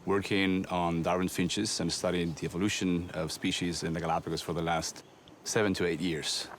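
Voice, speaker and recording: Guy, biologist, deep voice, lots of um and uh, some faint noise around. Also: little pitch movement, moderate pace. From Ecuador. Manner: thoughtful, with frequent ums and uhs. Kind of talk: interview explanation.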